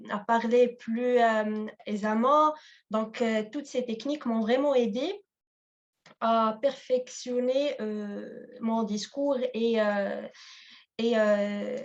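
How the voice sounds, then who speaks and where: Hesitant woman on a dull video call. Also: on the high side. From Morocco. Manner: hesitant, many fillers and restarts. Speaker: guest, past contest laureate. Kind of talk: online webinar discussion.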